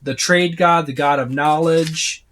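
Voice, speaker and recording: Guy with a kinda high voice casually rambling thru lists, some noise and clicks behind him. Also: fairly even intonation, a little slow, a bit bright. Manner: rambling, often listing items one by one. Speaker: tabletop gaming reviewer. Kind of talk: casual unscripted book walkthrough.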